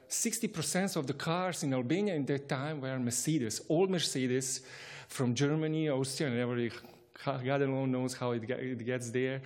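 A man's voice: fairly high pitch, darkish tone. A man from Bosnia and Herzegovina telling a personal travel anecdote in English, chuckling often and restarting his sentences. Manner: chuckling, halting, with frequent restarts. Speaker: storyteller.

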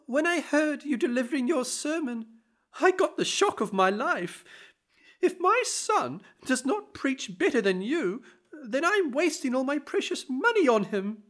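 A narrator doing a shrill old mother. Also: sing-song, a little slow, fairly bright tone, heavily acted. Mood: indignant. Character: elderly mother, shrill and exasperated.

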